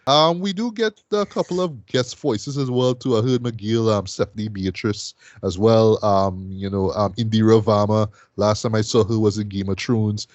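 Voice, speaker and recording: A man's voice: lowish voice, steady tone, moderate pace, hardly any pauses, a little mellow, dry recording, muffled recording. A man from Trinidad, casually reviewing a show as a podcast host, rambling with lots of fillers and "right?" tags.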